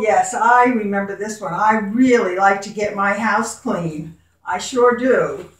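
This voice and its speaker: A woman who is a former teacher, reading a picture book aloud to young children in her home classroom, asking coaxing, sing-song questions. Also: fairly high pitch. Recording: very echoey room, voice far from mic.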